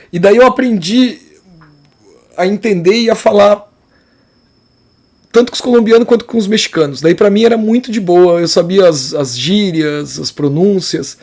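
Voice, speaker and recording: A man with a rather high-pitched voice teaches an art lesson. He speaks casually, wandering through analogies with frequent restarts and bits of slang. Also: darkish tone. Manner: rambling and conversational, with restarts and slang. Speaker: art teacher giving feedback.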